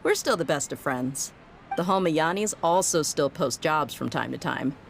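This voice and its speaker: A woman's voice: deep voice, lively intonation, moderate pace, gravelly, slight background noise. A woman playing a tough, easygoing and loyal female mercenary in a video game, with a casual, confident delivery. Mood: relaxed, fond.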